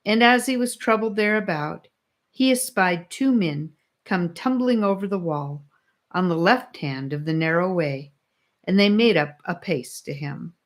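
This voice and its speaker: Slow, low-pitched woman reading an audiobook. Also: lively intonation. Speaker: audiobook reader. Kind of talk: scripted narration of a classic religious allegory.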